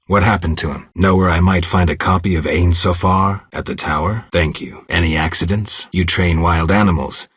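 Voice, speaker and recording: Casting: stoic, not quite human game monster hunter; very deep bass, husky gravel, laconic deadpan lines. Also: male voice, moderate pace, hardly any pauses, no room sound, clean studio sound. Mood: calm, laconic. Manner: terse, deadpan, guarded. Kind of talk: video game dialogue acting.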